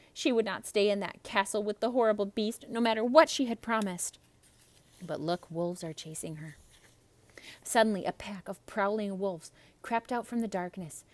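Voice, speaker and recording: A woman reading a children's story aloud in an expressive, theatrical narrating style. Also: medium pitch, no echo. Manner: expressive, dramatic.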